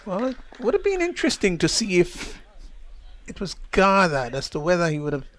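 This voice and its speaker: A man from the Caribbean commentating a live cricket match in a slightly higher-than-average voice. He talks in a chatty, measured way and his voice leaps up when a play gets tense. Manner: chatty, measured, rises sharply on exciting plays. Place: commentary position outdoors at a cricket ground.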